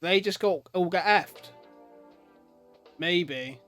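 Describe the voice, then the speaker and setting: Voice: mid-range voice, drawn-out words, fairly bright tone, soft background music. A reaction video host giving casual, slangy commentary full of exclamations.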